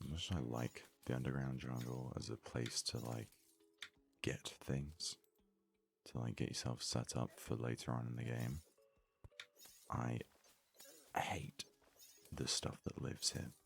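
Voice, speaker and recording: Very deep, creaky, hushed man. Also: little pitch movement, slow, long pauses, soft background music. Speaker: gaming video host. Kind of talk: soft-spoken ASMR game commentary.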